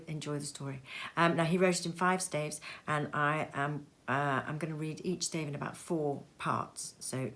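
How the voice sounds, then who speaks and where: A middle-aged woman reading a classic novel aloud at home in an expressive, theatrical way with playful asides. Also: low-pitched. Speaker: reader. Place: cozy sitting room at home. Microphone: seated in a chair facing the camera.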